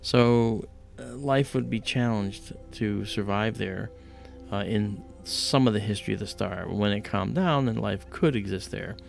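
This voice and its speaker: A man with a deep voice from a damaged vocal cord, hosting a livestream in casual, explanatory chat with animated storytelling. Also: unhurried, creaky, faint music behind. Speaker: livestream host and astronomy presenter.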